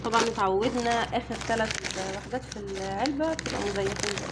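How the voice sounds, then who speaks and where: A woman with an Egyptian Arabic accent talks quickly through a casual home unboxing. Her voice sits a bit high and she sounds a little weary. There is clear background noise in the recording. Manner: chatty, weary. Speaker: family vlogger.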